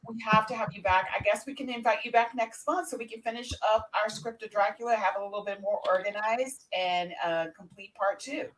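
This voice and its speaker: A woman's voice: mid-range voice, steady tone, fairly quick, hardly any pauses, a little hiss or hum, poor audio. A woman hosting an unscripted live podcast, talking off the cuff as she runs the show. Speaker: podcast host.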